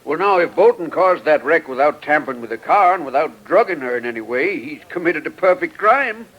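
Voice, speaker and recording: Folksy, high-pitched police chief. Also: male voice, lively intonation, a little fast, lo-fi sound. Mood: skeptical. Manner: folksy, ungrammatical rural phrasing. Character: folksy, doubtful small-town male police chief. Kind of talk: 1940s radio mystery acting. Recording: old-time radio recording.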